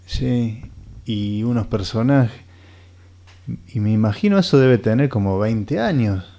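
A man's voice: fairly low pitch, animated, a little slow, warm tone. An actor playing a distracted, hesitant man who rambles and trails off in a naturalistic radio play. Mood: musing, nostalgic.

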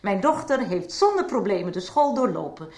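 Firm, animated middle-aged mother. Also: mid-range voice, moderate pace, echoey room. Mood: firm, combative. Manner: firm, insistent, formally polite. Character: assertive divorced mother standing up to a school official. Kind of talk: 1990s radio soap acting.

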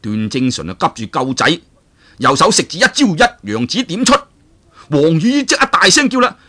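Animated male storyteller, bright and lively. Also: fairly high pitch, moderate pace. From Hong Kong. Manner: animated, dramatic, chuckling asides. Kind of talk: traditional Cantonese storytelling of a martial arts novel.

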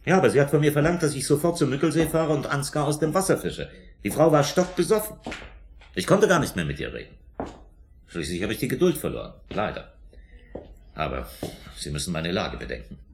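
A man's voice: mid-range voice, sing-song, a little fast, slight background noise. A man acting in a German radio drama as a defensive, indignant suspect under police questioning, emphatic and self-justifying. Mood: exasperated.

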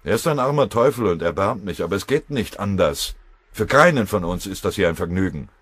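Give the listener a blustering wartime foreman, acted out by a male narrator, bossy yet fatherly. He grumbles and barks sarcastic orders in colloquial speech, with big swings of pitch. The voice is husky and closely, drily recorded. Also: lively intonation, fairly quick, no room sound. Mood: gruff, resigned. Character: gruff, blustering German airfield foreman, bossy but fatherly.

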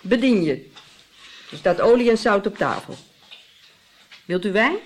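A woman's voice: mid-range voice, animated, unhurried. A woman playing a hearty, gossipy Italian innkeeper who relishes telling scandal in a Dutch radio play. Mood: hearty, welcoming. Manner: theatrical, relishing storytelling with exclamations. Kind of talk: Dutch radio drama acting.

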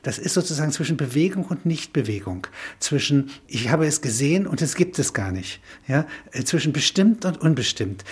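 A man in reflective intellectual conversation, musing in halting phrases punctuated with small affirming tags. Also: on the high side, breathy edge. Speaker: interviewee, filmmaker.

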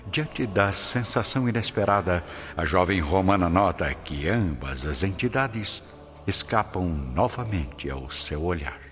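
Male narrator, Brazilian accent, deep bass register, solemn scripted storytelling, slightly muffled recording. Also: animated. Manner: solemn, literary, measured phrasing. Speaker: narrator of a radio drama. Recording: dull lo-fi audio under loud music.

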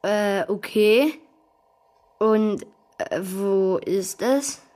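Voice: mid-range voice, drawn-out words, a little mellow. A young performer playing a bewildered, polite and curious schoolboy in a children's radio play, hesitant and natural. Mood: meek, puzzled.

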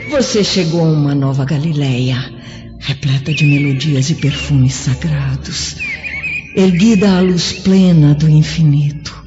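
An actress with a Brazilian accent playing a noble, welcoming female spirit in a solemn, lyrical radio drama. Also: low-pitched. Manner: slow, serene, lyrical. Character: noble, welcoming female spirit guiding a friend through heaven. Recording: muffled radio drama over music.

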